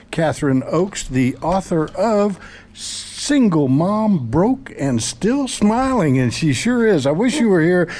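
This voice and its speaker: A man hosts a talk radio show with a mellow, warm tone, keeping the patter upbeat and promotional. He introduces guests and moves the interview along. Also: on the high side. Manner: promotional, enthusiastic host patter. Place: studio set in a mall before an audience.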